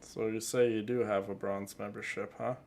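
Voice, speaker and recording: A man's voice: lowish voice, flat delivery, moderate pace, creaky, darkish tone. A man streaming a video game, chatting casually and earnestly with his viewers. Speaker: streamer and content creator. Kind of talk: casual game livestream chat. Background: game music.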